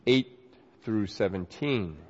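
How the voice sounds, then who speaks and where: A man preaching a sermon and reading scripture while getting over a cold, his voice a little nasal and raspy, in an earnest, emphatic manner. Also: on the low side, slow, darkish tone, a little hiss or hum. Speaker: pastor. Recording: dull recording with long hall reverb.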